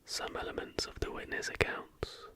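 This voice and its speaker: An ASMR host reads in a steady, breathy whisper with no voicing at all. The delivery is hushed and measured, in the manner of scripted narration. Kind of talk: ASMR reading of conspiracy history, scripted narration with brief asides.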